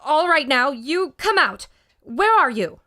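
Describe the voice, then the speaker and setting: Woman as plucky, higher-voiced adventure heroine. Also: sing-song, unhurried, pushed and bright, exaggerated voice. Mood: tense, determined. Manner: earnest, assertive. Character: plucky, bold adventurer woman, confident and brisk. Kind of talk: radio drama acting.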